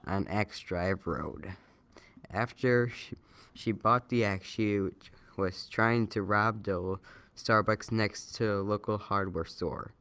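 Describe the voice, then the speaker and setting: A man reading a scripted amateur news report, somewhat haltingly. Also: on the low side, a bit bright, smooth, no room sound. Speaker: news reader.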